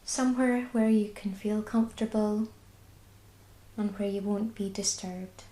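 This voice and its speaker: A woman with a Scottish accent guiding a body scan meditation, speaking slowly and soothingly. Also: medium pitch, fairly even intonation, reverberant, low-quality recording. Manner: slow, soft and soothing. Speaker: mindfulness guide.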